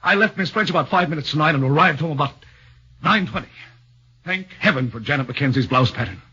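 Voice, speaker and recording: A man's voice: fairly high pitch, animated, quick pace, sharp tone, slightly rough, full character voice. A man voicing an anxious young accused husband who speaks nervously and earnestly, stammering, in a 1940s radio drama on a muffled old broadcast.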